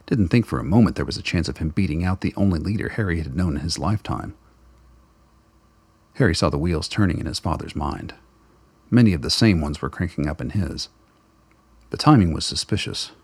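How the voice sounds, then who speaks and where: A male narrator reads a radio drama script. His voice is extremely deep and gravelly, with a husky grain. The delivery is dramatic and scripted, recorded close and dry. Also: no room sound.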